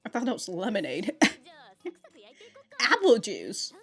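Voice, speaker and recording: A woman's voice: mid-range voice, huge pitch swings, slow pace, breathy edge, soft background music. A woman giving casual reaction commentary, slangy and exclamatory, swearing freely and often breaking into giggles. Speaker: reaction video host.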